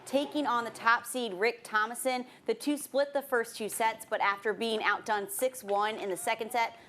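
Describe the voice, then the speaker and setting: A woman anchors a TV-style sports segment at a middle pitch. She reads results and recaps in broadcast news style, with faint noise behind her voice.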